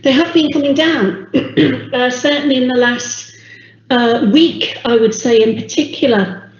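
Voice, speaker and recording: A woman from a public health team briefing an online council meeting, speaking in a measured way with many ums while losing her voice. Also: high-pitched, steady tone. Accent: English. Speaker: public health officer presenting. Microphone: video call. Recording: echoey, dull video call audio.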